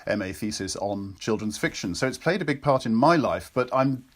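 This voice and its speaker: A man's voice: lowish voice, lively intonation, brisk. A man with a British accent hosting an interview, speaking in an effusive, articulate way as he introduces and questions a guest. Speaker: interviewer and actor. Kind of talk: warm introduction and interview, semi-prepared.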